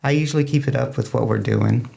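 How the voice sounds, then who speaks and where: Man, American accent, mid-pitched, gravelly fry, talkative, joking, casual guest chat. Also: quick pace, dark tone. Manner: talkative, joking, self-teasing. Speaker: amateur radio club public information officer, guest.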